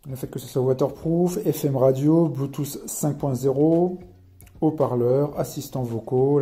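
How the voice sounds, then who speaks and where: A man's voice: medium pitch, dark tone. A man with a French accent casually walks through a product as a reviewer, reading out specs and explaining them as he goes.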